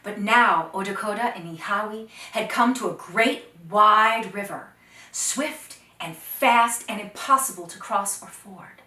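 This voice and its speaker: A woman telling a folktale to children, dramatic, expressive and deliberate, sometimes dropping to hushed phrases. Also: medium pitch. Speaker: storyteller. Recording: roomy echo, distant microphone.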